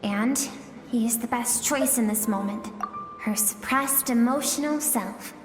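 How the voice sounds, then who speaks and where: A woman playing a young woman's gentle emotional inner self in a video game, speaking softly and earnestly with heartfelt pleading. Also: fairly high pitch, unhurried, natural voice. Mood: earnest, solemn. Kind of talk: scripted video game voice acting. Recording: echoey long reverb, music behind.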